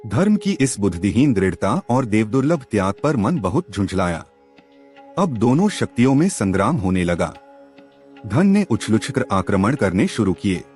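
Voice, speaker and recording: A man narrating a literary story as a scripted audiobook, with expressive, emphatic delivery. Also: on the low side, normal speed, soft background music.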